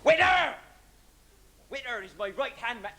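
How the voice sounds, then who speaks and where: An amateur actor playing a blustering, theatrical pirate captain as a comic pantomime villain, bellowing to the audience on a live stage. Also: male voice, very high-pitched, animated, unhurried, pushed and bright, full character voice. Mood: scheming, blustering. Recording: noisy live stage recording.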